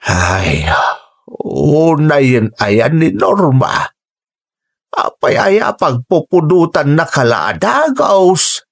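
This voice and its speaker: A man acting a concerned, kindly old grandfather with a husky voice in a radio drama. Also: a little high, very animated, drawn-out words, full character voice. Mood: kindly, advising.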